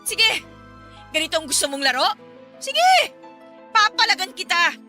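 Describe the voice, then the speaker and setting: A woman's voice: very high-pitched, big pitch swings, a little slow, over music, exaggerated voice. An actress playing a desperate young single mother in an emotional radio drama, pleading with and accusing her former lover. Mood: furious, hurt. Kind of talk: Tagalog radio drama acting.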